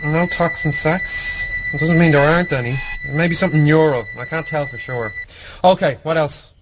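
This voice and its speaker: A man as a brusque, highish-voiced shady fence. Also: moderate pace, lightly acted. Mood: brusque, wry. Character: gruff, shifty male fence, brusque and businesslike. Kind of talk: radio drama acting. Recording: clear background noise.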